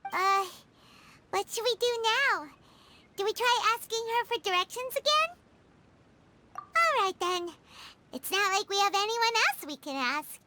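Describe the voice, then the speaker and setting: A woman voicing a small, excitable, chatty fairy-like girl companion in a video game, with a squeaky, childlike voice. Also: a little hiss or hum, heavily acted. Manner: exclamatory, refers to self in third person. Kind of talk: animated video game voice acting.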